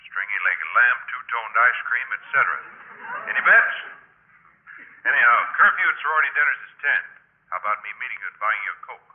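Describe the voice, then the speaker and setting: An actor playing a wry, teasing young Army veteran at college in a 1950s radio drama. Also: male voice, medium pitch, lively intonation, fairly quick, natural voice. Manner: dry, teasing. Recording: muffled 1950s radio broadcast.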